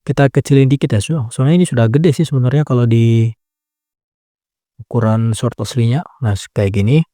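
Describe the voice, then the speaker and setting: Young guy explaining an editing tutorial, chill and chatty, kinda mellow voice, dry close sound. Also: mid-range voice, moderate pace, no echo. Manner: laid-back, chatty, with frequent filler tags. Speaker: tutorial creator. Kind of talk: casual screen-recording tutorial.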